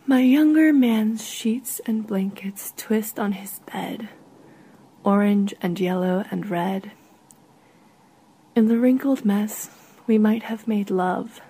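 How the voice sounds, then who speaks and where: A woman reading a literary short story in a soft, measured way, with a husky, breathy voice. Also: medium pitch.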